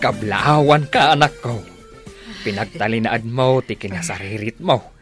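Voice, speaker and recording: A man playing a proud, loving father in a radio drama, with a measured delivery and an Ilocano Filipino accent. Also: medium pitch, over music, lightly acted.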